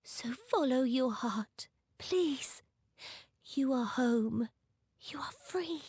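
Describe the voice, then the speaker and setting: A woman's voice: high voice, lively intonation, drawn-out words, a little mellow, husky, dry recording, studio quality, heavily acted. A woman playing a small, resolute young sultana in a fantasy game, regal and tender, with formal archaic speech. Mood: tender, sorrowful. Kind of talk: dramatic fantasy game voice acting.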